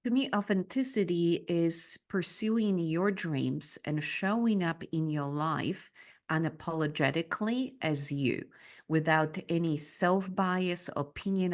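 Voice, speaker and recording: A woman from Poland, a native Polish speaker, talking as a guest on a podcast in an earnest, measured way about her coaching work. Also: sits low. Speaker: guest, business mindset coach. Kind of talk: podcast interview.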